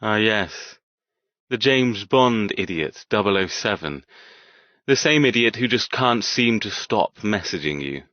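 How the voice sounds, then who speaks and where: Arrogant, menacing love interest for audiobook romance: low, controlled, taunting, in a dry, slightly dull recording. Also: male voice, expressive, measured pace, sharp tone, no room sound. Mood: sardonic, mocking. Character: cold, cruel, arrogant young man, menacing love interest. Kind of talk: audiobook character dialogue.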